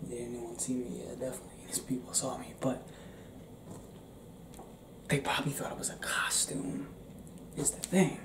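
A young man performing an intimate ASMR roleplay as a restless, tender werewolf, speaking in a hushed, halting, often whispered way. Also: mid-range voice, level tone. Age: young adult. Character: young male werewolf confiding in his partner, restless, tender. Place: small indoor room. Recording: roomy echo, clear background noise.

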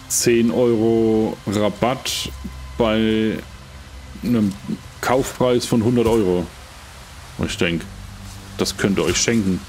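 Male game streamer, middle-aged: lowish voice, unhurried rambling chat, jokey, clear music behind. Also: a little mellow. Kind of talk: casual chat while playing.

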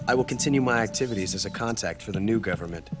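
A man playing a sly, composed double agent in a game cutscene, speaking in a measured, smug way. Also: on the low side, lightly acted. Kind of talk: scripted game cutscene acting, phone report. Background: music.

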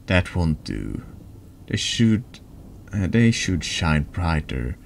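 A man with a deep voice narrating a horror text game in a deliberate, dramatic ASMR storytelling style. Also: very animated, creaky, a little hiss or hum. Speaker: streamer reading a text adventure game aloud.

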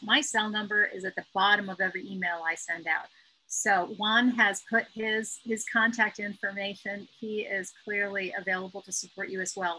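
Woman, webinar moderator, bright voice, supportive, stop-and-start phrasing. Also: medium pitch, low-quality recording. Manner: supportive, halting with restarts. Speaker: university staff moderator. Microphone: video call.

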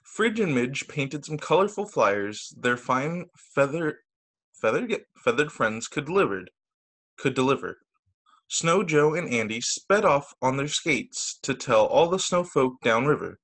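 A man, a teacher, reading children's stories aloud over a video call in an expressive, sing-song storytelling way. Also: medium pitch, unhurried. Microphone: video call microphone.

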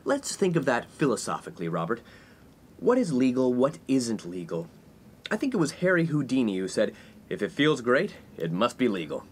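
A man playing a pompous, animated young cad. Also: mid-range voice, normal speed, a little hiss or hum, close to an everyday voice. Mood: smug, defensive. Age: young adult. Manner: pompous, mock-philosophical. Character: smug, spoiled, lecherous 1950s college man. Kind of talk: comedic 1950s radio play acting.

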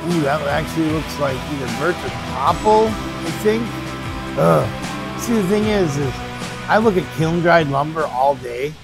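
A man's voice: a little high, animated, a little slow, over loud music, low-quality recording. A man in his thirties, a sawmill owner, casually narrating his own footage from a small office in a chatty, self-deprecating way. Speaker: sawmill business owner and vlogger. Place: small wood-paneled office.

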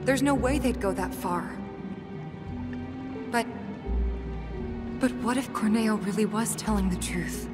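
A woman's voice: medium pitch, takes it slowly, long pauses, close to an everyday voice. A young American woman playing an earnest, caring, athletic fighter in a video game. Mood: worried, urgent. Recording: long reverb, game music behind.

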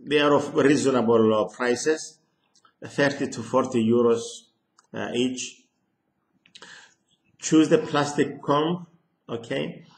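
Older man, Greek accent, slow halting speech, echoey small room, webcam sound. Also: medium pitch. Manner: slow, hesitant, many ums and restarts. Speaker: harmonica teacher. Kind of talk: casual lesson to camera. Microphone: webcam at close range.

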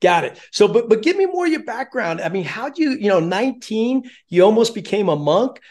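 A man's voice: high-pitched, very animated, a little fast, darkish tone. A man in his fifties hosting an interview over a video call, affirming his guest eagerly and asking long, rambling questions. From born in Germany. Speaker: podcast host. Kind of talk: casual interview chat. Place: home office with shelves of sports helmets.

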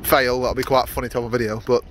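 A man's voice: mid-range voice, little pitch movement, fast talker, fairly bright tone, a little hiss or hum. A young man vlogging casually from his workshop yard, rambling with many fillers and restarts. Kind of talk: casual vlog narration.

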